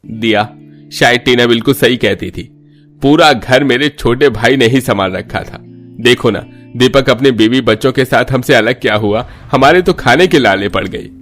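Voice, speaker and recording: An actor playing a humble, caring young husband in a cartoon story, soft-spoken and earnest. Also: male voice, mid-range voice, normal speed, soft background music, lightly acted. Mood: downcast.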